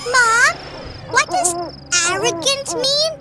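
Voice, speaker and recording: A performer voicing an eager, chatty young child in a children's cartoon, expressive and childlike. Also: very high-pitched, slow pace, loud music behind the voice, heavily acted. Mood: curious, eager.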